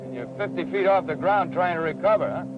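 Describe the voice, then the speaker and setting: A man's voice: sits high, fast, music in the background, close to an everyday voice. An actor playing an eager businessman learning to fly in a 1960s training film, giving agreeable replies and checking his understanding. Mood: attentive. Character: businessman student pilot, eager, willing learner. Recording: dull noisy 1960s film soundtrack.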